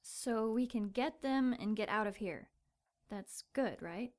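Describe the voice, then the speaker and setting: A woman's voice: a little high, takes it slowly. A woman acting a plucky but doubtful young churchgoer in an audio drama, questioning and game. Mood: puzzled, doubtful.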